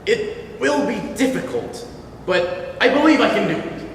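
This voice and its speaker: A man's voice: extremely high pitch, expressive, measured pace, exaggerated voice. A man playing a slick con man with formal business patter in an amateur stage play, recorded in an echoey hall. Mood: persuasive, businesslike. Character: slick, smooth-talking male con man posing as agent. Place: indoor hall, recorded from far off. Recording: very echoey hall, distant mic, faint noise.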